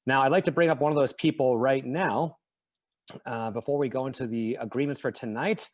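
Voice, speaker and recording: Guy hosting a zoom panel, even middle voice, earnest and measured. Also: mid-range voice. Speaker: event host.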